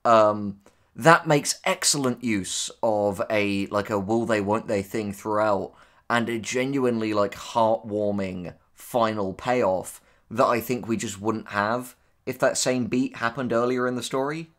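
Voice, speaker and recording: Male co-host, lowish register, measured and articulate, opinionated in casual discussion. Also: fairly bright tone. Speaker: co-host, audio drama writer.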